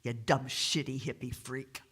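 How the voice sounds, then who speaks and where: A man acts out a big, cranky cop from a comic story, with a thick New York accent. The voice is high, forceful and stuffed-up, bullying in every phrase. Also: big pitch swings, normal speed, exaggerated voice. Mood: fuming. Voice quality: congested, stuffed-up nose.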